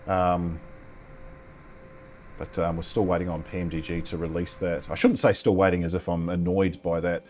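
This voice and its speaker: Low-voiced Kiwi man rambling through a flight. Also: steady tone, moderate pace, a little mellow, slight background noise. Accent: New Zealand. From New Zealand. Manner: rambling, many ums, mildly sweary. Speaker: flight sim streamer. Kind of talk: casual gameplay commentary. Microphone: headset.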